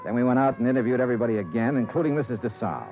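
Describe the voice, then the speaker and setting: An American actor playing a terse, skeptical, world-weary insurance investigator in a 1950s radio detective drama. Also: male voice, mid-range voice, lively intonation, very fast, over music, close to an everyday voice. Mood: matter-of-fact narration. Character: hard-boiled, skeptical male insurance investigator. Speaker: lead radio actor and narrator. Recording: old radio recording, narrow band, hissy.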